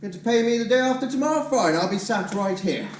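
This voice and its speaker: An actor playing a rude, blustering debt collector who shouts, rants and mocks, in a stage play. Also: male voice, high-pitched, animated, quick pace, a little hiss or hum. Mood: indignant. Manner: shouting, sarcastic, ranting. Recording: echoey hall, distant mic.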